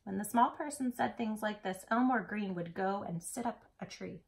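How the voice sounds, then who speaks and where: A woman storytime host reading a picture book aloud to children in an expressive, dramatic way. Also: mid-range voice, normal speed, creaky. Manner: animated, dramatic delivery for children.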